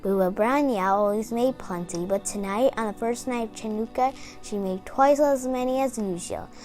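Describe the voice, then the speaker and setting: A woman's voice: on the high side, normal speed, a little mellow, soft background music. A woman storyteller reading a children's picture book aloud as a bedtime story.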